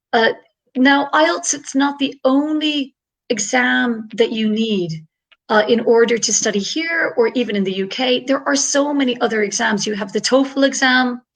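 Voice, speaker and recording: A woman's voice: on the high side, a bit bright, poor audio. A woman from Ireland, an English tutor talking on a video call in a chatty, upbeat way with many fillers. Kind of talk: online panel talk.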